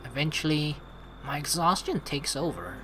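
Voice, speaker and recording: A woman doing casual let's play commentary and reading game dialogue aloud in an expressive, playful, sweary way. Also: deep voice, normal speed, over music, poor audio.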